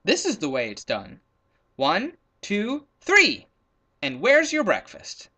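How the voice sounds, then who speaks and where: A man's voice: sits high, huge pitch swings, takes it slowly, bright, forceful tone, pitched above the performer's own voice, exaggerated voice. A man voicing a sly, cheeky zebra in a children's storybook, taunting and exclamatory. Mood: triumphant, taunting. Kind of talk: storybook character voice in a read-aloud.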